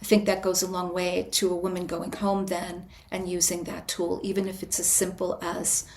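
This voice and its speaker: Calm, invitational woman guest. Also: on the low side, a little hiss or hum. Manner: calm, invitational, measured with frequent restarts. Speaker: podcast guest, writer and retreat leader. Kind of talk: reflective interview answer.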